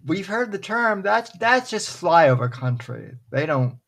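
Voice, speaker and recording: A man hosting a podcast in casual chat, talking in a halting way with many pauses and restarts. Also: high-pitched, big pitch swings, creaky, a bit bright, a little hiss or hum. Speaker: podcast host.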